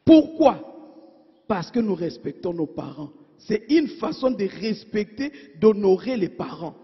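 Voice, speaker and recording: A woman preacher delivering a sermon, asking her congregation rhetorical questions and swinging between emphatic and hushed delivery. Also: fairly high pitch, husky. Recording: dull sound in a reverberant church hall.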